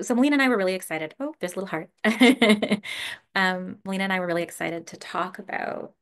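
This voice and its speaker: Woman, meditation teacher, casual talk, a little gravelly, easy laughs, lots of ums, video call. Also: fairly low pitch. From Canada. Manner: relaxed, laughs often, many fillers.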